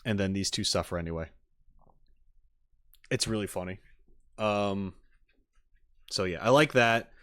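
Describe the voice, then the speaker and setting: Casting: male streamer, lowish voice, very unhurried, rambling casual reaction commentary with halting rephrasing. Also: a bit bright, no echo. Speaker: streamer reacting to game trailers.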